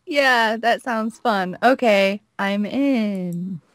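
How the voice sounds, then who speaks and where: A woman's voice: fairly high pitch, very slow, dry recording, studio quality. A woman co-playing a game, reading dialogue aloud in a casual way and chatting between lines. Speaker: co-player reading a character's lines.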